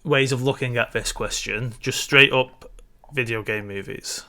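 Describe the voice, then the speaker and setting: A man speaks in a bright, forward voice at a medium pitch. He sounds like a podcast co-host in a casual discussion of films. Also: a little slow.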